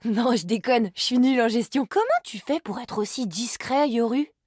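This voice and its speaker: A woman's voice: on the high side, moderate pace, a little mellow, no room sound, studio quality, lightly acted. A woman voicing a cheeky young immortal agent in a video game, playful and sarcastic with casual, slangy delivery. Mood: playful, teasing. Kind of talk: video game character voice lines.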